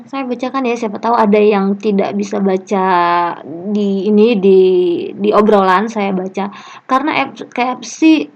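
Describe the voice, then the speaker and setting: A woman's voice: medium pitch, creaky. A woman lecturer leading an online class discussion, reading student comments aloud with a chatty, joking manner. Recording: muffled lo-fi online call audio.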